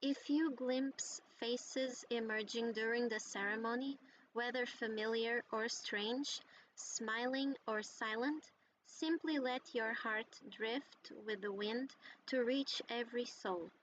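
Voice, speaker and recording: Slow, serene ritual guide. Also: female voice, fairly high pitch, fairly bright tone, slight background noise, rough recording. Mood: tender, solemn. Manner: solemn, tender, measured. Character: serene female ritual guide blessing departing souls. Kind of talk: video game voice acting, poetic recitation.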